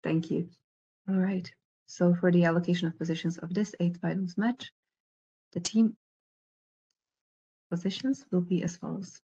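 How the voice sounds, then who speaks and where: Husky, low-voiced woman hosting formally. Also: fairly even intonation, normal speed, long pauses, dark tone, lo-fi sound. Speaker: debate tournament organizer and host. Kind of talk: formal event announcements. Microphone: video call.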